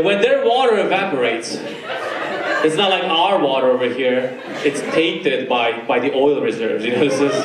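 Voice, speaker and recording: A man in his thirties doing stand-up crowd work on a small club stage, teasing the audience into a handheld mic. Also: mid-range voice. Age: 30s. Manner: teasing, animated banter with audience. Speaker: stand-up comedian. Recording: echoey club recorded from far off with crowd noise. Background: audience laughter.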